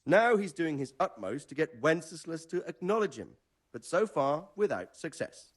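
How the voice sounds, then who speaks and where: An actor playing a knowledgeable older medieval lord in a video game, explaining things in a measured way. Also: male voice, a little high, big pitch swings, breathy edge. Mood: measured, explanatory. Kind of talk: video game dramatic dialogue.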